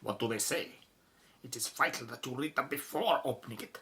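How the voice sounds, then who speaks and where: A grave male archaeologist played by an actor in an audio drama, formal and superstitious. The voice has a tense, forward edge at a middling pitch, and every phrase is carefully weighed. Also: brisk, bright, forceful tone, a little hiss or hum. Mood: solemn, knowing. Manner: solemn, formal, careful phrasing without contractions. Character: grave, superstitious male tomb expert, knowing and fearful.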